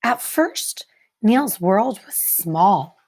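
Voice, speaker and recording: A woman reading a picture book aloud like a teacher, expressively, with deliberate dramatic pauses and emphasis for young listeners. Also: mid-range voice, big pitch swings, a little mellow. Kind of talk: expressive children's read-aloud narration.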